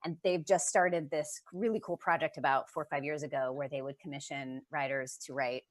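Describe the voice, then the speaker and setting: A woman's voice: sits low, fairly even intonation, a little fast. A woman playwright speaking off the cuff on a panel, reflective and enthusiastic, leaning on key words. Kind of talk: thoughtful unscripted panel talk.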